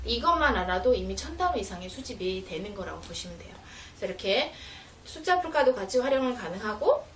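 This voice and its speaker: A woman's voice: medium pitch, very animated, a little fast. A woman giving an informal, patient, step-by-step explanation of teaching materials to parents, like an educator. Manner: patient, step-by-step, acts out mother-child example dialogue. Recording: roomy, distant mic, faint noise.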